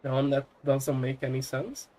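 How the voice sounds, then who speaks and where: A man with a cold and a nasal voice, chatting halteingly while gaming, with many fillers and throat clearing. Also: medium pitch, flat delivery, normal speed, mellow. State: sick, nasal. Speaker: gamer. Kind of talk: casual chat while gaming.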